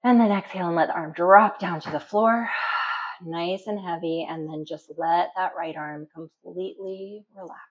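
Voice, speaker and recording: Low-voiced woman guiding calm relaxation, muffled recording. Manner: calm instructional, with slow pauses and audible breath releases. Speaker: yoga and relaxation instructor. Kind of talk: guided relaxation meditation. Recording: dull, muffled lo-fi sound.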